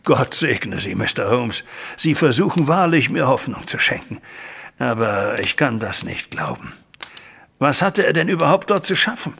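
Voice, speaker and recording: A man's voice: mid-range voice, big pitch swings, moderate pace, gravelly, fairly bright tone, breathy edge, full character voice. An actor playing an anguished middle-aged father in an audio drama, speaking in a formal, agitated and dramatic way. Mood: despairing. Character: distraught, anguished banker father recounting a family scandal. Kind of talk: German audio drama acting. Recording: studio audio drama with music underneath.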